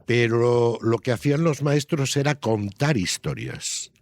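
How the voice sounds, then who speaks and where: A man gives an interview as an author. His voice is mid-pitched, recorded dry with hardly any room sound. He explains like a teacher telling a story, often checking that the listener follows. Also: animated, a little slow, darkish tone, dry recording. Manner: didactic, checks in with rhetorical tags. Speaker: interviewed children's book author.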